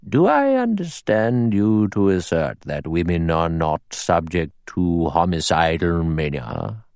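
Man playing a slow, sour old judge, very low. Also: animated, no room sound, exaggerated voice. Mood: cold, probing. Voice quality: small, thin, clear voice. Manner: slow, deliberate, dry and sour. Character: elderly male judge, cold, measured, acid-tongued authority.

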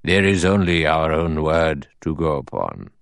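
A man voicing an elderly judge in an audiobook, cold and measured, with a thin, sour, deliberate delivery. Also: very deep voice, sing-song, no echo, exaggerated voice. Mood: cold, probing. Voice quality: small, thin, clear voice. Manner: slow, deliberate, dry and sour. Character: elderly male judge, cold, measured, acid-tongued authority.

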